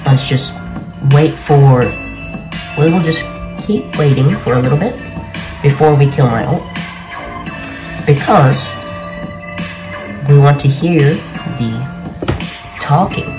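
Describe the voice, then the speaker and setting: A man's voice: fairly high pitch, takes it slowly, long pauses, over music, rough recording. A young man hosting a gaming video with casual gameplay commentary, speaking into a desk mic in a bedroom. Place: small bedroom, indoors.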